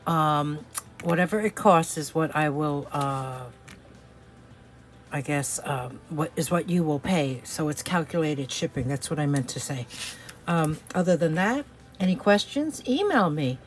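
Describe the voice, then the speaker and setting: Slow-talking New York woman seller. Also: low-pitched, animated, faint music behind. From New York City. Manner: chatty, hesitant, many fillers. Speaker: resale seller. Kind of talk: casual product showing vlog.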